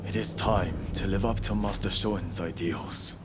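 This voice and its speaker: An actor playing an earnest, resolute samurai rebel in a video game cutscene. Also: male voice, low-pitched, unhurried, heavy background noise.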